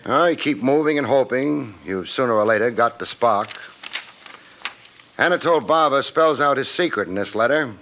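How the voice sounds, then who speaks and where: A man's voice: mid-range voice, lively intonation, moderate pace, fairly bright tone, slight background noise. A man playing a wry, hard-boiled private investigator in a 1950s radio drama, with a clipped tough-guy delivery. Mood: knowing. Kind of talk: 1950s radio detective drama acting and narration. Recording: muffled 1950s radio recording with music.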